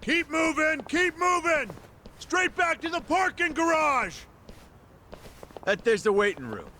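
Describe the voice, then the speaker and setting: A bossy, harried gangster in a video game drama, barking orders at a very high, strained pitch. The tone is sharp and forceful, with faint noise around it. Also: male voice, very animated, unhurried, heavily acted. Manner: barking, impatient. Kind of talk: English video game dub acting.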